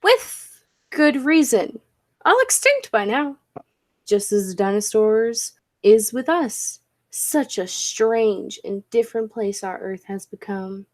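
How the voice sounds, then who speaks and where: A fairly high voice with a very slow, deliberate delivery. A woman plays a skeptical scientist, earnest and emphatic, in the manner of 1940s radio drama. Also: very animated, full character voice. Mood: observant. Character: Dudley, skeptical, intense scientist and professor. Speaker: amateur drama club actor. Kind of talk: 1940s radio play acting.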